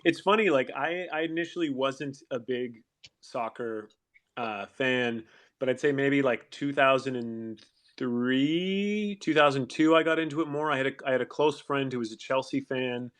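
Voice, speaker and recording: A man's voice: mid-range voice, moderate pace, a bit bright. A man hosting an online audio chat, answering fans' questions in a thoughtful, rambling way with frequent restarts. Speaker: actor hosting a fan Q&A. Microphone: online audio room.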